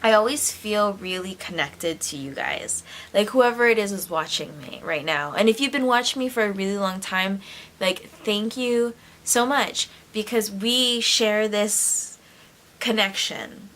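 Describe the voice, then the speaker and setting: A young adult woman talking straight to her camera in a rambling, expressive stream-of-consciousness vlog, with lots of "like". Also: mid-range voice, unhurried, slight background noise. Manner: rambling and expressive, heavy use of "like", sudden laughs. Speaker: YouTuber.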